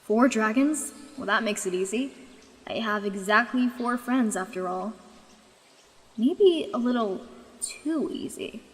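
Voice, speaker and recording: A woman's voice: on the high side, animated, measured pace, big-room sound. A woman voicing a young female dragon in a fan dub, determined and sardonic, wanting to be queen. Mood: determined, wary.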